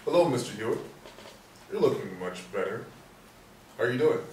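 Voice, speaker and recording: An actor playing a courteous, steady police sergeant in a stage play reading, measured and matter-of-fact. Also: male voice, mid-range voice, lightly acted. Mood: courteous, friendly. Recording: roomy echo, distant mic, faint noise.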